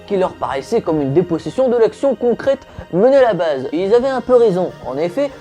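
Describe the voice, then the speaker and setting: A woman's voice: medium pitch, sing-song, a little fast, warm tone, soft background music. Casual jokey woman host. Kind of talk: comic history explainer.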